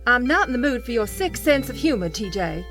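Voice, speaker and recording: A haughty woman speaks in a fairly high voice over faint music. She is an irritable conspirator in an audio drama, curt and openly disdainful. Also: sing-song, brisk, fairly bright tone. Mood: irritated, anxious.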